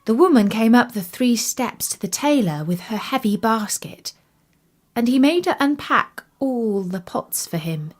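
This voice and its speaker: A woman reading a scripted fairytale for children in an expressive, deliberate storytelling delivery. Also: fairly high pitch.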